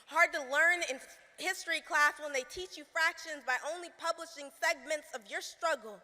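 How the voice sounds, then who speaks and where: High, bright teenage girl performing slam poetry. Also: normal speed. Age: teens. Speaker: spoken word poet. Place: live stage performance in a hall. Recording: reverberant hall.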